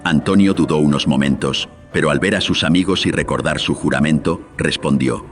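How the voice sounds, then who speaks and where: A man's voice: very deep voice, fairly quick, faint music behind. A man narrating a scripted horror radio drama in a literary, dramatic storytelling manner.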